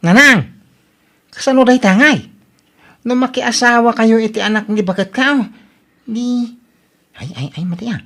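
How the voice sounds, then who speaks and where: A woman's voice: fairly high pitch, measured pace, long pauses, fairly bright tone. A woman with an Ilocano accent playing a scandalized, scolding grown daughter in a comedy drama, full of exclamations and questions. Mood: shocked, scolding.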